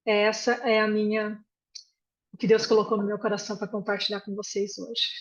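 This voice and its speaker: A woman's voice: medium pitch, monotone, a little fast, reverberant, highs cut off. A Brazilian woman sharing earnestly at a prayer meeting, speaking informally and rambling, with frequent restarts.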